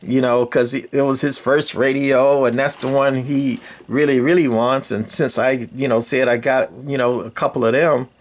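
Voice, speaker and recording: An older man with a gravelly voice, giving a casual, rambling hobbyist walkthrough with folksy, dryly sarcastic asides. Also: mid-range voice, fairly even intonation, normal speed, hardly any pauses. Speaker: radio hobbyist presenter.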